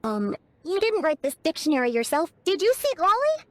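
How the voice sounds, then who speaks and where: A tiny excitable fairy kid from a video game, super high and squeaky, bouncing all over. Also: female voice, normal speed, slight background noise. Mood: skeptical. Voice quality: squeaky, childlike. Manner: exclamatory, naive, refers to self in third person. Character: tiny excitable childlike floating fairy girl companion. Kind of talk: animated video game voice acting.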